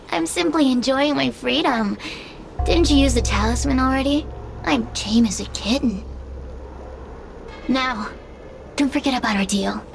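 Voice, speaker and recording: A woman's voice: sits high, animated, unhurried, vocal fry, long pauses, background noise, heavily acted. A woman voicing a sly female spirit in a video game, languid, teasing and condescending, savouring the emotions of others. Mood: gloating, teasing. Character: sly, gloating female fire spirit who feeds on emotions. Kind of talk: video game cutscene acting.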